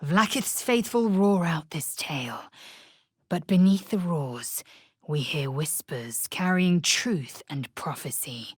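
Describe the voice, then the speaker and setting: Husky, imperious alien warrior woman. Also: deep voice, animated, slow, no room sound, studio quality, heavily acted. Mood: grave, measured. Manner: imperious, clipped, formal, scornful. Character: proud, fierce, zealous female githyanki alien warrior. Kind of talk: video game character acting.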